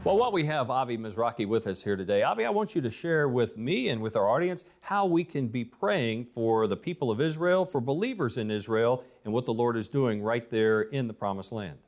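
A man hosting an interview, soft-spoken and affirming as he introduces and thanks his guest. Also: medium pitch, animated, a little fast, hardly any pauses. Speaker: interview host.

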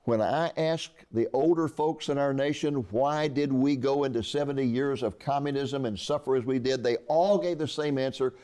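An earnest man in his eighties talks through his book as an interview guest. His voice sits at an ordinary middle pitch, and he often doubles back and restarts his words as he goes. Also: moderate pace, hardly any pauses, mellow, smooth. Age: 80s. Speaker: author guest. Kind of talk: conversational interview.

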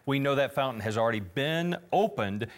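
A man's voice: medium pitch, lively intonation, fairly quick. A man co-hosting a Bible prophecy TV program, speaking earnestly and affirming his partner. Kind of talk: conversational Bible teaching.

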